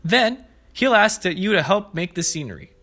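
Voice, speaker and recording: A man's voice: sits high, sing-song, moderate pace, pushed and bright. A man hosting preschool story time, reading aloud and explaining things simply and expressively to young children. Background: background music.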